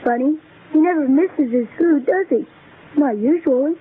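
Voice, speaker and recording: A performer playing an innocent-sounding, eerily calm young boy in a radio horror play, casual and matter-of-fact. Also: high voice, moderate pace, a little hiss or hum, close to an everyday voice. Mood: eerily unbothered. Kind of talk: old-time radio horror acting. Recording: tinny phone-like radio drama with music.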